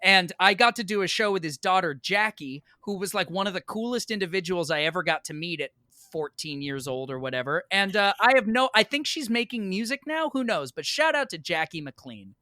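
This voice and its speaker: Bright-voiced American man telling stories. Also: high-pitched, a little fast, hardly any pauses, no echo, clean studio sound. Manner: animated, emphatic, profane storyteller. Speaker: podcast guest. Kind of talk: casual chat, storytelling.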